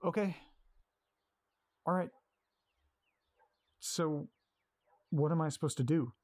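A man's voice: a little high, takes it slowly, darkish tone, no room sound. An actor playing an anxious, awkward young man who hesitates and repeats himself in an audio drama. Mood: nervous.

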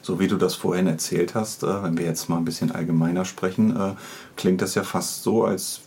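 Gravelly low-voiced man hosting a podcast. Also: steady tone, fairly quick, a little mellow. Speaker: podcast host and interviewer. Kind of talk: casual conversational interviewing.

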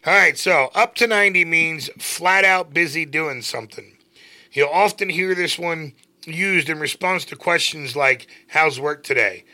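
A middle-aged man co-hosting a casual show, reading slang out loud with jokes and a mock Irish accent. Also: fairly high pitch, measured pace. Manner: joking, puts on a playful Irish accent for the sample sentences. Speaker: co-host.

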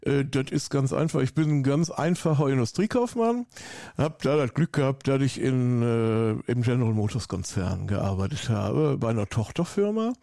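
A man's voice: mid-range voice, expressive, moderate pace, gravelly, mellow, no room sound, studio quality. A middle-aged man with a Rhineland German accent, talking effusively and rambling with many fillers as an interview guest. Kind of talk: casual interview.

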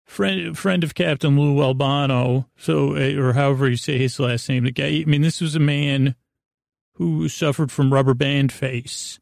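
A middle-aged man with a creaky, gravelly voice, hosting a sleep podcast in a goofy, meandering, halting monologue that often repeats itself. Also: medium pitch, steady tone, darkish tone, no echo, studio quality. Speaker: podcast host. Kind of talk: rambling bedtime monologue.

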